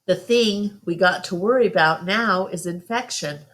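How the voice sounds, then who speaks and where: A woman's voice: on the low side, measured pace, fairly bright tone. A woman storyteller voicing a kind-hearted, plainspoken older male country doctor. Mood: concerned.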